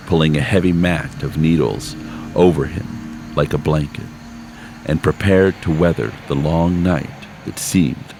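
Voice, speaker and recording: A man reading a literary short story aloud in measured, unhurried scripted narration, with slowed phrases. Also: very deep voice, background noise. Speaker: story reader. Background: background score music.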